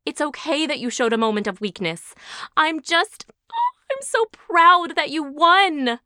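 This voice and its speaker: A woman's voice: high voice, expressive, a little slow, bright, forceful tone, no echo, clean studio sound, heavily acted. A woman playing a self-absorbed, glory-hungry aunt in an audio drama, dramatic and self-important. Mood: condescending, shaken.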